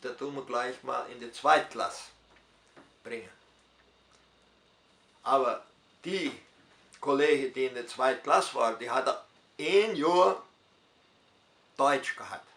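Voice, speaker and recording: A gravelly older man with a Hunsrückisch dialect accent recounts his life at an easy, storytelling pace. He speaks at a middle pitch, and the echoey room around him is clearly audible. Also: a bit bright. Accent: Hunsrückisch German of southern Brazil. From southern Brazil. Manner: unhurried storytelling with dialect forms and hesitations. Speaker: retired pastor interviewee. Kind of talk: personal life story in interview.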